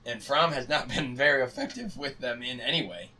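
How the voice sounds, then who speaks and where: A young man with a hoarse, worn voice chats about college football on a podcast. He gets worked up and climbs in pitch when he argues a point. He sits a little away from the mic, and a faint hiss runs underneath. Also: a little fast, recorded from a distance. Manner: excited, rising pitch when arguing. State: hoarse, losing his voice. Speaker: podcast co-host. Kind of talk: casual sports chat.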